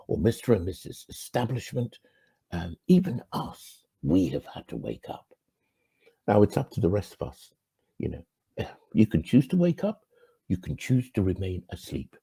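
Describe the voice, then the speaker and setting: Husky, gravelly man from Ghana. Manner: earnest, swinging from emphatic rises to quiet low endings. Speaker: channel host. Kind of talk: reflective monologue to camera.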